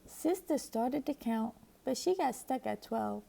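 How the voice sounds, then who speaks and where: A woman reading a children's picture book aloud with expressive, lively narration. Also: fairly high pitch, unhurried, vocal fry. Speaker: storybook reader.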